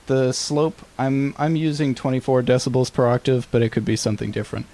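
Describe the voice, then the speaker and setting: A man's voice: medium pitch, little pitch movement, fairly quick, mellow. A man presenting an audio-production tutorial in an informal, matter-of-fact, slightly tentative way.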